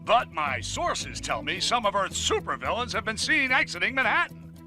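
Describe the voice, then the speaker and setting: A man's voice: high-pitched, wildly swooping pitch, moderate pace, pushed and bright, soft background music, full character voice. A man playing a brash, self-important newsman delivering a punchy, blustering bulletin in a video game.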